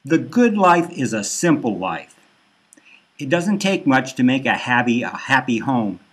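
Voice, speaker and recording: A man reading his own dark satirical novel as a first-person audiobook narration. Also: medium pitch, sing-song, measured pace, husky.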